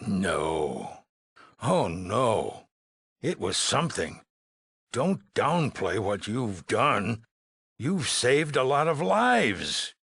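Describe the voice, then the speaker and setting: An actor playing a weary, anxious middle-aged male leader of an underground shelter in a video game, with a raspy, gravelly voice and halting, earnest delivery. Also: on the low side, very animated, fairly bright tone, no echo, full character voice. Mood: relieved, solemn. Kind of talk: dramatic game dialogue acting.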